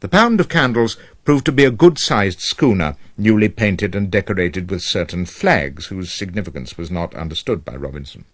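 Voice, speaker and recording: A man narrating a children's story from a script as a storyteller, reading aloud in an expressive, measured manner. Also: sits low, no echo, clean studio sound.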